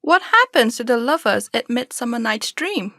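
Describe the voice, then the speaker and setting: A woman's voice: fairly high pitch, animated, moderate pace, fairly bright tone, no room sound, clean studio sound. A young woman playing an opinionated, questioning young student in stage drama dialogue. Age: young adult.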